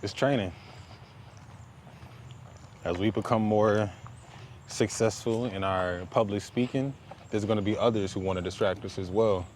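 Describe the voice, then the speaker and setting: A man's voice: lowish voice, a little slow, long pauses, darkish tone. A man talking in a motivational, conversational vlog style, emphatic and building points through repeated phrases. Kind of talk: motivational conversational talk while walking. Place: outdoors, walking up a paved hill. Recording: noisy outdoor recording with traffic.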